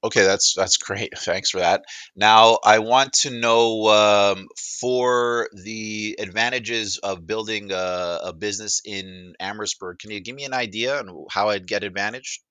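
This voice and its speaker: A man presenting a casual product demo, putting questions to a voice assistant in hesitant, halting phrases. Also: on the low side, steady tone, sharp tone, clear, resonant tone, no echo.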